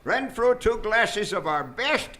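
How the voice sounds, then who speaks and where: A man playing a sinister, formal and imperious doctor with smooth manners in a live radio drama. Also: sits high, big pitch swings, takes it slowly, pushed and bright, a little hiss or hum, full character voice. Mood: controlled, sly. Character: sinister, smooth-mannered male doctor, commanding master of servant. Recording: live theater stage performance.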